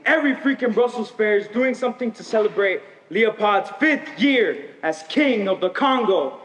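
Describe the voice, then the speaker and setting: A man's voice: sits high, expressive, normal speed, fairly bright tone. A male actor playing a bossy, cruel freak-show handler, commanding and mocking in theatrical stage style. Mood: grandly mocking. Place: high school theater stage. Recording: echoey stage hall, faint music.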